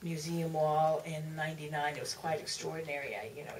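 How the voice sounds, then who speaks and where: A woman author recollecting at a live Q&A in a theater, speaking haltingly with repeats and trailing phrases. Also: deep voice, fairly even intonation. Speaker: author, panel guest. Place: museum theater. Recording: distant, noisy auditorium pickup.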